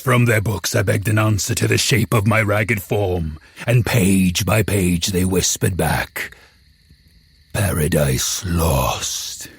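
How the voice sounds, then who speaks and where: An actor playing a lonely, eloquent man-made creature, telling his tale in a solemn, brooding audio drama voice with a gravelly, husky edge. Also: low-pitched, slow, no echo, full character voice. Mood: brooding wonder. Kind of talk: dramatic first-person audio drama narration.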